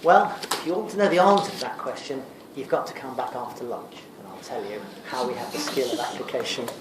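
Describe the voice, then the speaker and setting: A man's voice: medium pitch, brisk. A man giving a classroom revision lecture, explaining in a didactic way and answering his own questions. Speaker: sociology lecturer. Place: lecture room, recorded from a distance. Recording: echoey room, distant mic, noisy.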